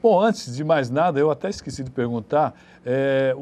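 A man's voice: medium pitch, expressive, normal speed, darkish tone. A middle-aged man hosting a TV interview show in a conversational, rambling way. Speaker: TV talk show host. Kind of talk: interviewing guests in a studio. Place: small TV studio.

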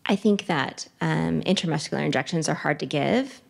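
A woman in her thirties with a low voice answers questions from the floor as a child neurologist. She speaks into a handheld mic in a conference room, thinking aloud with fillers and restarts. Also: moderate pace, creaky. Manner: thoughtful, with many fillers and restarts; gestures with her free hand while speaking. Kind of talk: answering questions at a medical talk. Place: conference room in front of a wooden wall. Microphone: handheld microphone.

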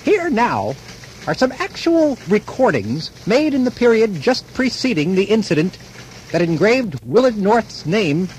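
A man's voice: high-pitched, very animated, a little slow, a little mellow. An actor playing a solemn, scholarly male narrator in scripted mock-documentary radio narration. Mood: solemn, expository. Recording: noisy old radio recording.

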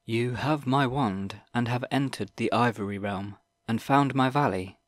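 A man reading an audiobook, voicing an ancient old wizard who speaks in a slow, deliberate and formal way. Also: medium pitch, dry recording, lightly acted. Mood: measured.

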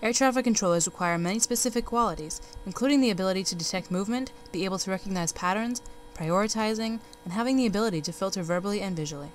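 A woman's voice: mid-range voice, rapid-fire, a little hiss or hum. A young woman, a student narrator, reading a formal documentary script. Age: young adult. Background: music bed.

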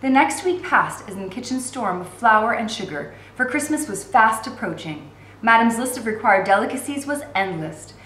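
A woman in her forties reading a novel aloud as an expressive narrator. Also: on the high side, normal speed. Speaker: reader of a novel for learners. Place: indoor room at home. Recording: roomy echo with faint background noise.

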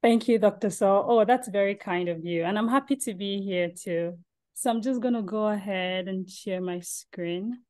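A woman's voice: medium pitch, moderate pace, a little mellow. A young woman from Nigeria, a PhD student giving a friendly, conversational talk over a video call. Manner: friendly, conversational, with fillers like "kinda" and "um". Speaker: PhD student presenting research. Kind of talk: informal presentation on a video call. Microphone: video call mic.

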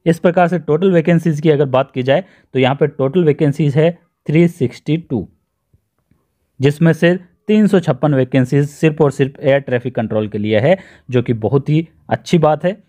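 Higher-pitched Indian man explaining step by step. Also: moderate pace, mellow. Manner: instructional, step-by-step, repeats points for emphasis. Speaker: presenter of job notification videos. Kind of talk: explanatory screen-share tutorial narration.

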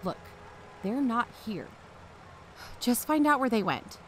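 A woman's voice: a little high, very animated, slow, fairly bright tone, slight background noise, heavily acted. A woman playing a cautious, practical investigator's partner in an audio drama. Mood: tense.

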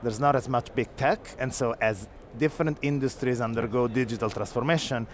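A man in his thirties with an Italian accent, a guest talking fast and eagerly in an interview on a convention floor, on a lapel mic. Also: mid-range voice, a little hiss or hum. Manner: fast, energetic, gesturing, restarts. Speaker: guest, foundation executive. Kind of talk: conference interview. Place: busy convention hall floor.

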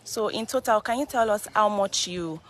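A woman reporter briskly interviewing and prompting on a live walkthrough. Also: mid-range voice, a faint crowd. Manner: brisk, prompting, many okays. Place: outdoor compound, then indoor rooms.

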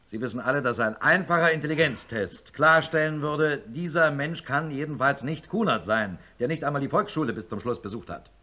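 An actor playing a principled, educated neurosurgeon in a German radio play, emphatic and argumentative. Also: male voice, mid-range voice, expressive, a little fast, few pauses, sharp tone. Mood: insistent. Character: defiant male neurosurgeon professor, indignant, principled, also narrating wearily. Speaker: lead character and narrator.

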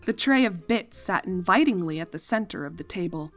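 A woman reading a fan fiction story aloud as an expressive narrator. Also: fairly low pitch, fairly quick, faint music behind.

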